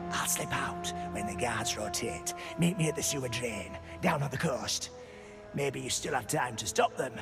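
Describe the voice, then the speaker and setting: An actor playing a sly, taunting rebel prisoner who schemes and wheedles in a video game. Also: male voice, mid-range voice. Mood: smug, mocking. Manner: mocking, insinuating, wheedling. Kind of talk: video game character acting.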